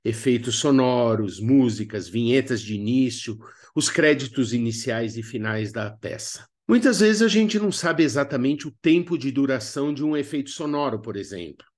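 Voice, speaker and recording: A man with a Brazilian accent narrates an instructional video lesson. His voice sits at an average pitch. He explains in a didactic way, dropping in conversational asides to the learner. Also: lively intonation, normal speed, few pauses. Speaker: instructor of a radio drama workshop.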